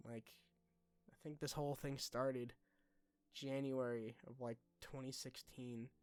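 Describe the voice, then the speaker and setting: A young man talking casually and unscripted like a YouTuber to followers, candid, self-deprecating and halting, with informal phrasing. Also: medium pitch, flat delivery, darkish tone, clean tone, soft background music. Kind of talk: casual unscripted vlog talk.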